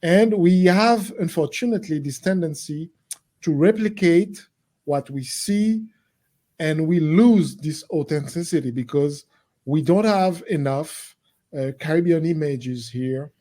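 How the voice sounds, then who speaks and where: A man from Haiti on a video-call panel, speaking in a measured, deliberate way. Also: on the high side, warm tone. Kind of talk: panel discussion.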